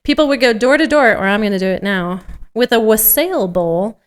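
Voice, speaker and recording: A woman's voice: on the high side, expressive, moderate pace, smooth. A woman co-hosting a podcast, reading a script and chatting casually, giggly and playful with joking asides. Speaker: podcast co-host. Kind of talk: scripted table read mixed with casual banter.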